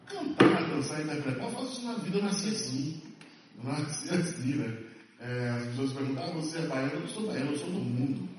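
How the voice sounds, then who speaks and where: A man's voice: a little high, expressive, fairly quick, slight background noise. A man from Salvador, an actor speaking at a press conference, emphatic and passionate, repeating phrases for stress. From Salvador, Bahia, Brazil. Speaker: stage and TV actor. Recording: echoey hall, mic far off.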